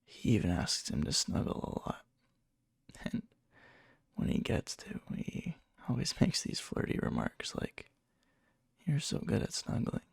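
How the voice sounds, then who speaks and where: A needy, obsessive young man in love , acted by a man for an ASMR roleplay, murmuring very huskily with heavy fry. He is coy and hesitant, unhurried, as if confiding a secret crush. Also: lowish voice, huge pitch swings, no echo, studio quality, exaggerated voice. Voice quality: breathy, soft, fry-edged. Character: needy, lovesick, obsessive young male friend confessing indirectly. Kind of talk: whispery ASMR roleplay, intimate storytelling.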